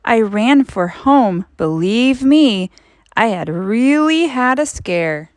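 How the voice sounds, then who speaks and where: A woman with a high voice reads a children's storybook in a very animated way. She stretches words for suspense and leans into dramatic emphasis, taking her time. The recording is close and dry. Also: dry recording. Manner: dramatic, drawn-out emphasis with big swoops for suspense. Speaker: teacher reading a storybook aloud. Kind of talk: expressive children's read-aloud.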